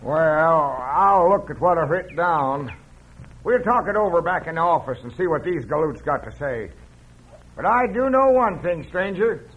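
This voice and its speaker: An actor playing a folksy, grudging Old West sheriff with an American Western drawl in an old radio western. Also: male voice, a little high, lively intonation, moderate pace, lightly acted. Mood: amazed, folksy. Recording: dull, faintly noisy old radio recording.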